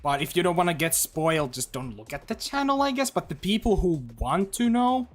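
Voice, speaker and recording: A man chatting to his viewers while he plays, loose and rambling, full of "like" and "you know." His voice sits a little high and swings widely in pitch. Faint music plays behind him. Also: big pitch swings, a little fast. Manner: rambling, chatty, lots of fillers and restarts. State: throat getting dry. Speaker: live streamer. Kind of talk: casual livestream chat while gaming.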